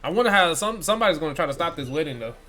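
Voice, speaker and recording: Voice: medium pitch, lively intonation, rapid-fire, noisy background. A game streamer giving casual, offhand commentary while playing along. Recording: game music faintly behind.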